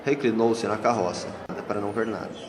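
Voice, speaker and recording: A man's voice: fairly low pitch, brisk, noisy background. A Brazilian man narrating a scripted radio drama. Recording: echoey hall, long reverb, music bed. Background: music.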